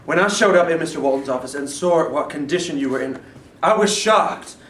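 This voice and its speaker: Man playing a higher-pitched, agitated husband. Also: fairly quick, fairly bright tone. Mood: shocked. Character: frustrated, fearful husband and schoolteacher. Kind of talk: staged play reading. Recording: echoey room, distant mic, faint noise.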